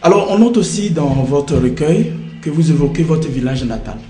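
A man's voice: fairly high pitch, animated, normal speed, dark tone. A middle-aged man, hosting a literary interview on a book show. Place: small indoor TV studio. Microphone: shared desk microphone. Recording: roomy, echoey studio sound.

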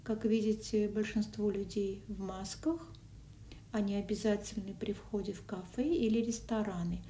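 A woman narrates a casual food and travel vlog, her mellow voice now and then dropping to a whisper. She is caught on a phone a little way off, with a roomy echo and faint background noise. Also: medium pitch, steady tone, normal speed. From Russian-speaking country. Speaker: vlogger. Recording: echoey, from a distance, faint noise.

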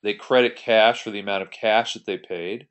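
A man's voice: on the low side, steady tone, normal speed, a bit bright, lo-fi sound. A man giving an explanatory tutorial as an accounting instructor, working through an example step by step in a conversational way. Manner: step-by-step, conversational, poses questions then answers them. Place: voice-over on a screen recording.

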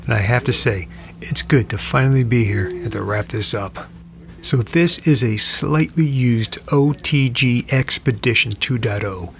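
A middle-aged man giving an upbeat, relaxed voice-over narration for his travel vlog. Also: mid-range voice, slight background noise.

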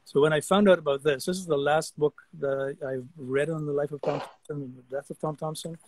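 A man's voice: on the high side, fairly even intonation, brisk, darkish tone, no room sound. A man in his sixties from Guyana, a guest artist chatting animatedly on a video call, often repeating his words. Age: 60s. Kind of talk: casual video-call conversation. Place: outdoor deck among trees. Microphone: video call webcam.

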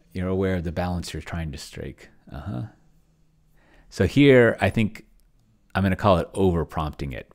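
Guy, really deep voice, middle aged, rambling casual commentary, thinks out loud, close desk mic. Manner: thinks aloud, trailing off. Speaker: solo role-player and streamer demonstrating AI game mastering. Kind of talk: casual live commentary while typing and reading aloud. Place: small indoor room. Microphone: close desk microphone. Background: computer keyboard typing.

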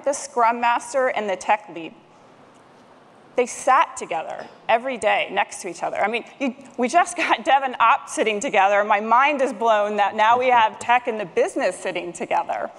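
A middle-aged woman speaks from a conference stage in a fairly high voice. She delivers a prepared presentation, and the big hall adds a long reverb around her words. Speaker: conference speaker, technology manager. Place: large conference stage, indoors. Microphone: headset microphone.

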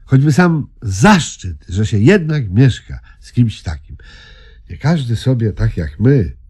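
A man's voice: mid-range voice, very animated, slow pace, darkish tone, husky, exaggerated voice. An actor playing a pompous, gullible man proud of his own voice, in Polish radio comedy style with self-important emphasis. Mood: grandly boastful.

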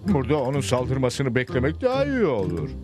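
A man's voice: medium pitch, wildly swooping pitch, fairly quick, over music. A man voicing a pompous, dim small-town general in a Turkish cartoon dub, commanding and self-important. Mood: earnest.

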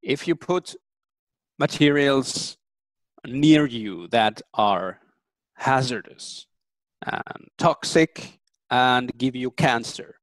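A man in his thirties, a native German speaker, giving earnest, thoughtful interview answers with frequent pauses and ums. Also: mid-range voice, no room sound, rough recording. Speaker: interview guest.

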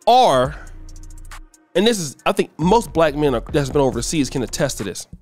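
A middle-aged man hosting a commentary channel, giving a blunt, preachy opinion monologue that climbs in pitch when he gets worked up. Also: sing-song, moderate pace, darkish tone, dry recording, faint music behind.

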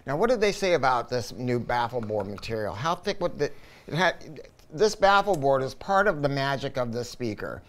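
A man with a mid-pitched voice talks through a technical product review. He explains things casually but emphatically, as if chatting with a co-presenter. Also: very animated, normal speed, fairly bright tone.

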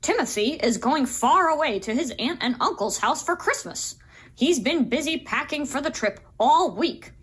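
A woman voicing a sweet, excitable young bear cub in a children's puppet show, childlike and earnest. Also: sits high, normal speed. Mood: downcast.

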